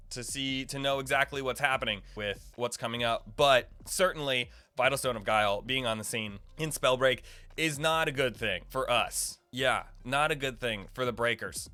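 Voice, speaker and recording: A man's voice: mid-range voice, pushed and bright, clear, resonant tone. A man hosting a gaming video, giving casual, informal lore commentary in a rambling, speculative way. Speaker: gaming video creator and host.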